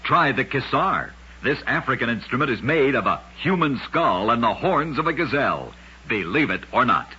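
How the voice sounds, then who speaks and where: A man's voice: medium pitch, big pitch swings, moderate pace, pushed and bright, slight background noise. A man narrating scripted oddities as host of an old-time radio program, with a dramatic, emphatic storytelling delivery. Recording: muffled old radio recording.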